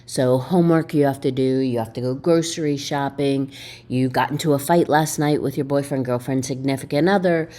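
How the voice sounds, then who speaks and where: A woman's voice: extremely low pitch, brisk. A woman instructor giving a recorded video lecture in an emphatic way, asking her students questions as she explains. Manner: animated, emphatic, asks the listener questions. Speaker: college instructor.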